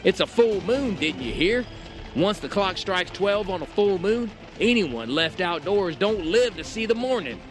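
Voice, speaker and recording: An actor playing a rustic, superstitious carriage driver in an audio drama, with folksy, fearful warnings. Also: male voice, high-pitched, lively intonation, a little slow. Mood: urgent fear. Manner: folksy drawl, agitated, warning. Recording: clear music behind the voice.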